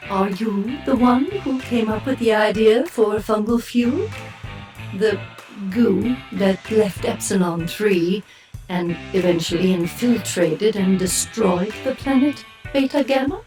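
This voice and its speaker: Slow, commanding, cold woman played by an actress. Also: medium pitch, darkish tone, soft background music, natural voice, poor audio. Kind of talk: audio drama acting.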